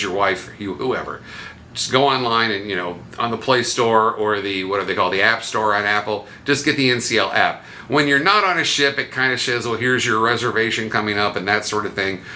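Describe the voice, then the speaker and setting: A man's voice: medium pitch, bright, forceful tone, a little hiss or hum. A man hosting a vlog, talking viewers through travel tips in a casual, conversational way with wry asides. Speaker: vlog host giving cruise travel tips. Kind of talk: casual explainer talk to viewers.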